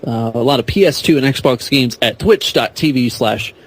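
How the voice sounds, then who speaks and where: A man's voice: medium pitch, moderate pace, slightly rough. Rambling, jokey man on a podcast. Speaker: podcast guest. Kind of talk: casual comedic podcast chat.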